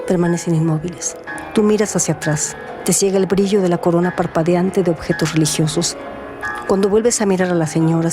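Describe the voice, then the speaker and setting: A woman narrating a literary radio drama in a measured, atmospheric storytelling manner. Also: fairly low pitch. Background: music.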